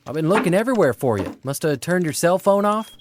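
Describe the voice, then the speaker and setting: An actor playing a contrite, persuasive suitor in an audio drama, plain-spoken and conciliatory. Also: male voice, high-pitched, animated, fast talker, a little hiss or hum. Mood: apologetic. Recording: music behind the voice.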